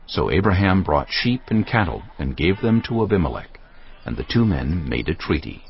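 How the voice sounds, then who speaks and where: Very deep, expressive male scripture narrator. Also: normal speed, slight background noise. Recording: dull audio under clear music.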